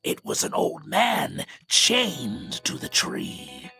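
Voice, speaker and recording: A man narrates a scripted tale in a very creaky, gravelly voice. He takes his time, and his pitch sweeps widely as he tells the story. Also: huge pitch swings, extremely slow, sharp tone, faint music behind.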